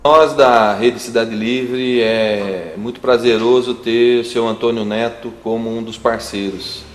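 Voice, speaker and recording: A man's voice: medium pitch, steady tone, measured pace. A Brazilian man giving a formal, somewhat hesitant testimonial on behalf of an organization. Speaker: community broadcasting representative. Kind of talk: spoken testimonial. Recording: echoey room, faint noise.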